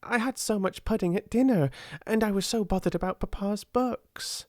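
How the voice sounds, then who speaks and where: A woman voicing a timid, slow, kind-hearted young girl who speaks in a halting, awe-struck way. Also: mid-range voice, expressive, darkish tone, dry recording, studio quality, pitched above the performer's own voice. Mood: excited.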